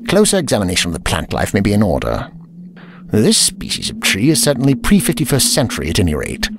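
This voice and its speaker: A man's voice: lowish voice, huge pitch swings, fast talker, creaky, heavily acted. A man acting a fussy, pompous old traveller, swinging widely. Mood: musing. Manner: pompous, prim, old-fashioned wording. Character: fussy, indignant elderly male time traveller. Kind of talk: audio drama acting. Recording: music under the voice.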